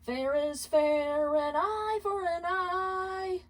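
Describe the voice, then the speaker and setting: A woman's voice: extremely high pitch, fairly even intonation, very slow, voice pushed up, full character voice. A woman voicing a mocking, vengeful male demon ex-husband in a dramatic fan fiction reading.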